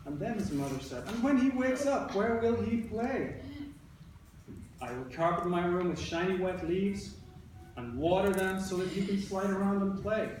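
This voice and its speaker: A man's voice: high-pitched, animated, normal speed. A man working as an elementary school teacher, reading aloud to young children and talking with them in an instructive way, repeating and rephrasing. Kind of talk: interactive read-aloud to a class of young children. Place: indoor classroom. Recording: roomy, echoey, distant mic with background noise.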